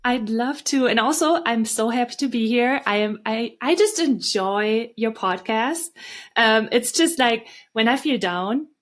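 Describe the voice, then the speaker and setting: A woman's voice: on the high side, unhurried. A woman from Germany with a German accent, telling stories conversationally as a podcast guest. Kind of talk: casual conversational storytelling.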